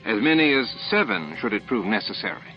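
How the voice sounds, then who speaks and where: A man voicing a calm, formal, self-assured alien leader in an audiobook, condescending and baffled by others. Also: lowish voice, a little fast, muffled recording, faint music behind. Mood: puzzled, smug.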